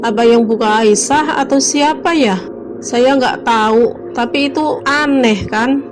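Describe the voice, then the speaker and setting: A woman voicing a worried young mother in a cartoon, talking softly and anxiously. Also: a little high, measured pace, noisy background, close to an everyday voice. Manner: anxious, soft-spoken. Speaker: mother of a sick child. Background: background music.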